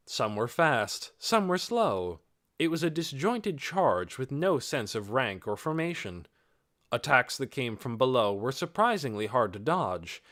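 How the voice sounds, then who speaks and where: A man reading scripted fantasy narration as an audiobook narrator, expressive and dramatic, with measured, deliberate phrasing. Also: medium pitch, dry recording, studio quality.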